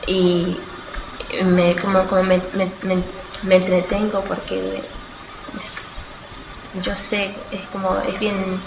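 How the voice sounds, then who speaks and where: An eighteen-year-old woman from Australia answers interview questions informally, haltingly searching for words and correcting herself. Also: mid-range voice, monotone. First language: Spanish. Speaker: student being interviewed. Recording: echoey room with clear background noise.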